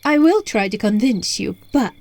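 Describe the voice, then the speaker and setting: A woman's voice: on the high side, lively intonation, moderate pace, a little mellow. A woman voicing an alluring, playful witch in an ASMR roleplay, teasing and coaxing the listener, recorded on a phone. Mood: earnest, coaxing. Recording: phone recording with music and night crickets.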